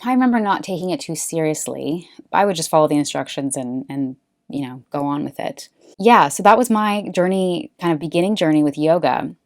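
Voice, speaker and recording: A woman from California who hosts a face yoga podcast, telling her story in a relaxed, chatty way with lots of fillers. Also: low-pitched, brisk, no echo, studio quality. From Bay Area, California. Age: late 20s or older. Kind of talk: casual personal storytelling monologue.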